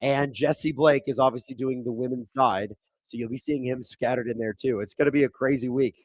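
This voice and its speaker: Hoarse, raspy man hosting a hockey watchalong. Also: mid-range voice, brisk, no echo. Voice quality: thin, raspy voice. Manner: casual, reads viewer chat aloud; excitable play-by-play on big moments. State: hoarse, voice squeaking. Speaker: watchalong host. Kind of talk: live hockey watchalong commentary. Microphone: streaming microphone.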